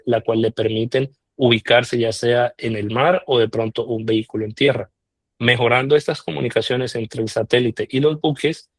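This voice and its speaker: A man from Colombia, answering interview questions in a measured way with frequent filler pauses. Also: mid-range voice, no room sound. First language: Spanish. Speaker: interviewed scholarship alumnus.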